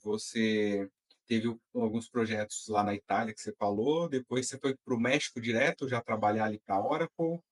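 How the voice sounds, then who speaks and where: Relaxed male podcast host. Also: lowish voice, normal speed. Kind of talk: casual interview chat.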